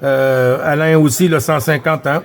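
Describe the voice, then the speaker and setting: A man's voice: fairly high pitch, fairly even intonation, unhurried. A man with a Quebec French accent chatting casually as he runs a CB radio round, repeating himself as he relays. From Quebec, Canada. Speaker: CB radio operator running the round.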